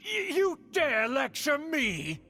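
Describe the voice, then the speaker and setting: Haughty high-pitched scheming nobleman. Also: wildly swooping pitch, takes it slowly, bright, forceful tone, faint music behind, full character voice. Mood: outraged. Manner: pompous, indignant, formal. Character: haughty, scheming aristocratic uncle, proud clan elder. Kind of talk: theatrical video game voice acting.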